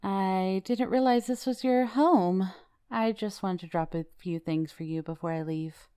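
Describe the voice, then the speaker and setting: A woman playing a calm, cryptic visitor bearing clues in an audio drama. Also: medium pitch, unhurried, smooth, lightly acted.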